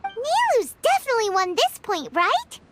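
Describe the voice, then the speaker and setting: A woman voicing a tiny, excitable, childlike fairy companion in a video game, with a squeaky voice. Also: normal speed, background noise, full character voice. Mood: cheering. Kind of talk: scripted video game dialogue.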